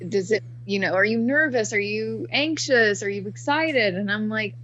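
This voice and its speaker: A woman's voice: mid-range voice, fairly bright tone. Female guest, about 30, chatty and upbeat, muffled take with faint hum. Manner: chatty, upbeat, quick to laugh. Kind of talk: casual interview chat.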